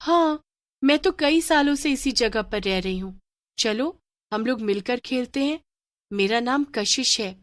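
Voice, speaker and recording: A woman voicing a strange, playful young village girl who coaxes others, in an animated horror story. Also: on the high side, unhurried, dry recording, clean studio sound, pitched above the performer's own voice. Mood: inviting.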